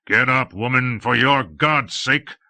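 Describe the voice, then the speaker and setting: A man voicing an angry, impatient man in an audiobook tale, gruff, blunt and scornful. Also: medium pitch, takes it slowly, sharp tone, no echo, full character voice. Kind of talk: dramatic audiobook storytelling.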